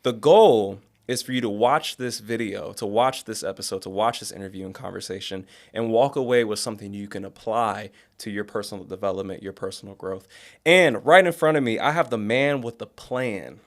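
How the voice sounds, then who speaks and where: A man's voice: lowish voice, brisk. A man hosting an interview podcast, friendly and encouraging, who gives short affirmations while his guest speaks. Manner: friendly, encouraging, small backchannels. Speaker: host. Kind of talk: casual interview conversation.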